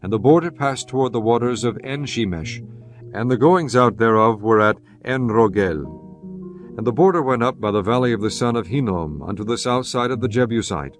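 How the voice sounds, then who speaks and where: Casting : un narrateur biblique masculin, lecture posée et régulière, avec une voix de hauteur moyenne. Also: male voice, mid-range voice. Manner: measured, steady reading. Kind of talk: scripted Bible narration. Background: guitar music.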